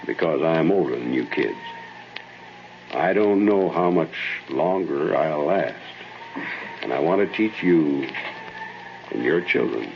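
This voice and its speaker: An actor playing an aging, fatherly and troubled male scientist in an earnest, theatrical 1940s radio drama style, sometimes halting. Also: a bass voice, lively intonation, creaky, heavily acted. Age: older. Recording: muffled, slightly noisy old radio recording.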